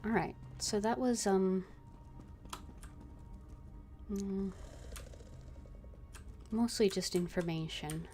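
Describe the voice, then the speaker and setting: A woman's voice: mid-range voice, very slow, pauses often, dark tone, soft background music. A woman giving casual gameplay commentary as a streamer, musing hesitantly and trailing off as she thinks aloud. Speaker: game streamer.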